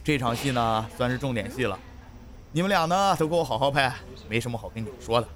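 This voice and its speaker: A man's voice: medium pitch, lively intonation, a bit bright, close to an everyday voice. A man playing a hot-tempered, domineering film director in an audio drama, given to scolding and bossing. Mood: bossy. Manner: scolding, rapid-fire. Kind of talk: Chinese audio drama acting.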